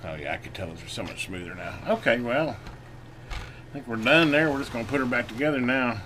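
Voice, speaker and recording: A man giving a casual hands-on repair demonstration, folksy and unhurried as he talks while working. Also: mid-range voice, animated, pushed and bright. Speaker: channel host doing electronics repair. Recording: noisy room, clearly audible background noise.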